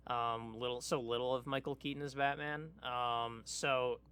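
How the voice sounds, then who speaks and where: A man co-hosting a casual pop culture podcast, thinking aloud in a halting, tentative way. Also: mid-range voice, level tone, fairly bright tone, clean tone. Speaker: podcast co-host.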